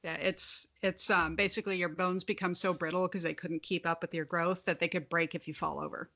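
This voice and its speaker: A woman's voice: fairly low pitch, steady tone, brisk, vocal fry, bright, forceful tone. A young adult woman with a slight lisp, telling stories frankly in a casual podcast chat and swearing freely. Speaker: podcast co-host.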